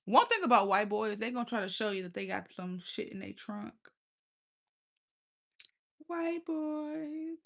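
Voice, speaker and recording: A woman with a lisp and a fairly high voice, hosting a relaxed stream. She talks straight to her chat in a loose, profane way. She laughs easily between her words. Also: measured pace, creaky, pauses often. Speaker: livestream host. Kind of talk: casual livestream chat with viewers.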